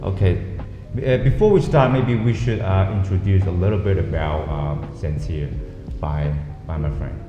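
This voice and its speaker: A male host with a low voice leads a casual interview, often restarting his sentences and filling gaps with uh and um. The sound is somewhat dull and reverberant, with music playing in the background. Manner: halting, frequent restarts and fillers. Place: office.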